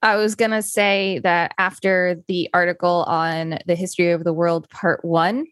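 Low-voiced woman podcast co-host. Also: little pitch movement, moderate pace, a bit bright, no room sound, clean studio sound. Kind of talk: reading aloud from an article.

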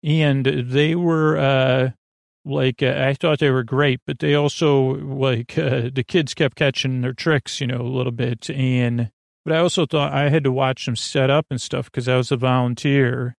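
A man with a creaky voice hosting a podcast in a rambling, halting, casual monologue that keeps trailing off and circling back. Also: medium pitch, fairly even intonation, no room sound, studio quality. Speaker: podcast host.

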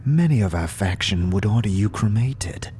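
A man playing a frail, weary old scribe in a fantasy audio drama, speaking solemn archaic lines with a breathy, wheezing, whispery rasp. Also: lowish voice, lively intonation, a little mellow, a little hiss or hum, close to an everyday voice. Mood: solemn, protective. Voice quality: breathy, wheezing, rattling with whispered trailing phrases. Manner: slow, solemn, archaic formal speech. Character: frail, weary, ancient undead-order scribe, solemn and contemptuous. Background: music behind the voice.

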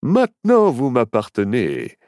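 A man's voice: mid-range voice, huge pitch swings, a little slow, a little mellow, dry recording. A man voicing a menacing, gloating circus ringmaster villain in a theatrical, threatening way for a children's story.

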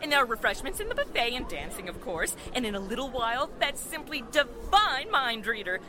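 Gushing, swooping high-voiced society matron. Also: female voice, big pitch swings, brisk, crowd noise. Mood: chattering, hostessy. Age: middle-aged. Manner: effusive, chattering, theatrical. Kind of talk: radio drama acting in 1940s manner.

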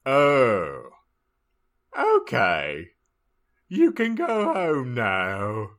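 A man voicing a blustering, foul-mouthed, angry professor in a comic story reading, shouty and exaggerated. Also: mid-range voice, wildly swooping pitch, drawn-out words, heavily acted. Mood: flustered.

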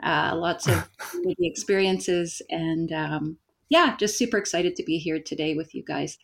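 A woman from Canada, a facilitator and coach, speaking as a podcast guest in an unhurried, thoughtful way with wry humor. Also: sits low, creaky. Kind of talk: casual podcast conversation.

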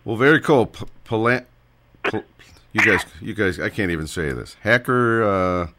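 A man's voice: fairly low pitch, lively intonation, moderate pace, noisy background. A man hosting a casual conversation with guests. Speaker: host interviewing guests. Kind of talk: casual chat.

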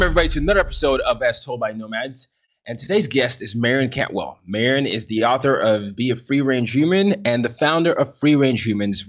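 A man hosting a podcast, effusive and upbeat, often repeating himself as he talks to listeners and his guest. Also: mid-range voice. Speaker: podcast host. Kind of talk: podcast intro and interview.

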